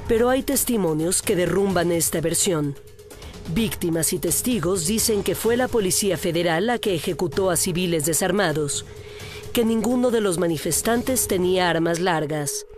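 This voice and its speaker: A woman's voice: on the low side, animated, normal speed, darkish tone. Mexican woman narrating a report over music. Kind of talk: scripted documentary narration.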